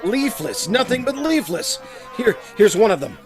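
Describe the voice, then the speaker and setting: A man's voice: high-pitched, sing-song, moderate pace, over music. A man playing a tense, anxious colleague in a 1930s-style radio drama. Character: tense, anxious male colleague reporting bad news.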